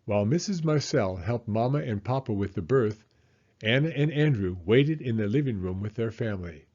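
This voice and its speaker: A man reading a children's story aloud as an animated narrator. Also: medium pitch, moderate pace, highs cut off.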